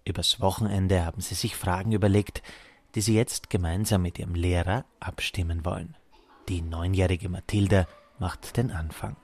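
A male reporter reads a prepared radio feature in a low voice. He delivers it as scripted narration, in short factual phrases. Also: steady tone, moderate pace, darkish tone, dry recording.

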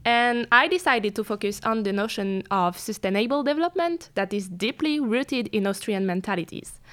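A nineteen-year-old woman from France speaks carefully and a little formally. Her voice is smooth, clear and a touch high, and she says each phrase with care. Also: a bit bright. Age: teens. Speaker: student guest.

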